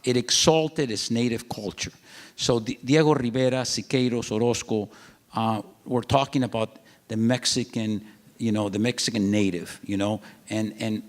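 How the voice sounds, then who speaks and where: An older man speaks on stage in a museum auditorium, his low voice carrying a long reverb. He rambles, circling back through fillers and restarts. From Latin America. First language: Spanish. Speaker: art collector, panel guest. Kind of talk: public onstage conversation.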